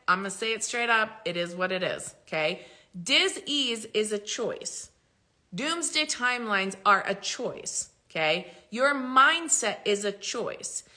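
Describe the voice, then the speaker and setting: A woman's voice: medium pitch, sharp tone. A woman spiritual coach talking casually and emphatically to her followers, swearing freely and laughing often. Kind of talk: casual talk to followers, livestream-style.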